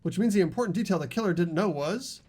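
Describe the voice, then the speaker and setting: A performer playing an earnest, argumentative high school student with animated questioning in a fan-voiced game. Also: fast, close to an everyday voice. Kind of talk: fan voice acting of a video game script.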